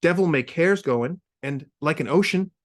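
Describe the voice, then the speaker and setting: A man plays a chummy male party pal in a short story. He is slangy and coaxing, pitched well above the reader's plain talk. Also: expressive, normal speed, close to an everyday voice. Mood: breezy invitation. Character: pushy, chummy living phrase, male party-going pal.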